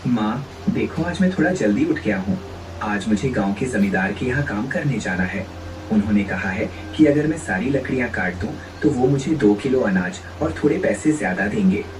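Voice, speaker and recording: A man's voice: on the low side, lively intonation, moderate pace, far from the mic, noisy background, close to an everyday voice. A man playing a devoted, earnest village son of eighteen in a dramatized moral story, pleading tenderly. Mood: tender, hopeful.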